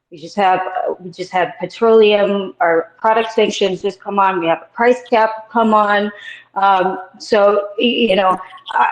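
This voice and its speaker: Slow-talking woman hosting, echoey sound. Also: medium pitch. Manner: loose, filler-heavy moderating. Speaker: host. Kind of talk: casual live discussion. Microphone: remote audio call. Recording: echoey room audio.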